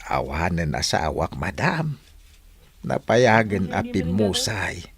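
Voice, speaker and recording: A humble old Ilocano widower, talks slowly and haltingly. His pitch wavers up and down as he speaks politely. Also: big pitch swings, crowd noise, heavily acted. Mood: humble, sorrowful. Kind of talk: radio drama acting.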